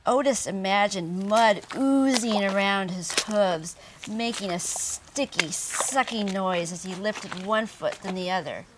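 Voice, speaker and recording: A woman narrating a children's picture book in a deliberate, expressive storytelling manner. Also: mid-range voice, noisy background. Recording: distant from the microphone.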